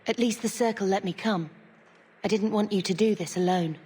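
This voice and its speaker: A woman's voice: mid-range voice, moderate pace, dark tone, slight background noise, lightly acted. An actress playing an earnest, anxious young woman in a video game, with a questioning manner. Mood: earnest concern. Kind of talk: dramatic video game acting.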